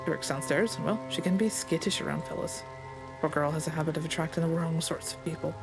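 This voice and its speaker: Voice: mid-range voice, quick pace, vocal fry, over music, close to an everyday voice. A player reading aloud the lines of a plainspoken Scottish stable master in a game, in a folksy, understated way.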